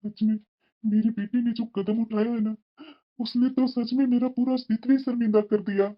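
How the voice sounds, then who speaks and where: A man's voice: very high voice, little pitch movement, moderate pace. A man voicing a burdened, irritable father in a cartoon moral drama, aggrieved and complaining, on a muffled recording. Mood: dejected. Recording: dull, muffled lo-fi sound.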